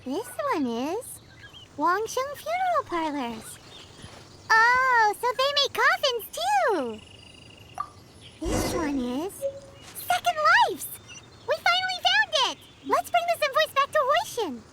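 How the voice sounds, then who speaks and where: A tiny, excitable fairy companion girl from a video game. The voice is extremely high, squeaky and childlike. It bounces wildly up and down in chirpy exclamations, with loud noise behind it. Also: takes it slowly, pauses often, heavily acted. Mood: excited.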